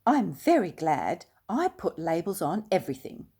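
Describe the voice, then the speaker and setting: A woman voicing a polite, excitable young male bear in a storybook reading, earnest and full of exclamation. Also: lowish voice, normal speed, breathy edge. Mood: matter-of-fact.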